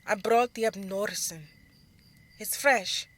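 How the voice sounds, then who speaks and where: A woman's voice: mid-range voice, slow pace, fairly bright tone, pitched above the performer's own voice. A woman voicing a protective, serious older sister, a young dancer, in an audio drama story. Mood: earnest.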